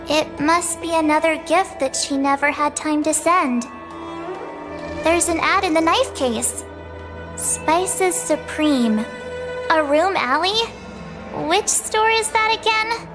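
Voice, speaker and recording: A curious young female companion from a video game. Her voice sits high and moves slowly, with a light-hearted touch. Music plays clearly beneath her. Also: animated. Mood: light, playful. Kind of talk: scripted video game voice acting. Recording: music playing under the voice.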